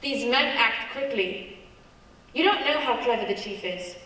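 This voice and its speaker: A woman's voice: fairly high pitch, expressive, a little slow, bright, forceful tone, slight background noise. A woman playing a secretive, frightened foreign secret agent in a radio play. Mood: urgent, secretive. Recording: very echoey hall, distant mic.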